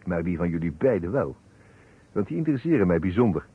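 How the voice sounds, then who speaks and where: A man playing a shrewd, courteous investigator in a Dutch radio drama, speaking formally and deliberately. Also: low voice, big pitch swings, husky, exaggerated voice. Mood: measured, insistent. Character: shrewd, courteous male investigator, probing and methodical. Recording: tinny, noisy old radio recording.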